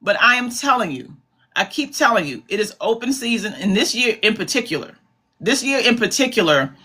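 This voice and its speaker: A woman's voice: fairly high pitch, big pitch swings, a little fast, pushed and bright. A woman hosting a live commentary stream in a chatty, repetitive way, calling her viewers "babies". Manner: chatty, calls viewers "babies", repeats herself for emphasis. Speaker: commentary livestream host.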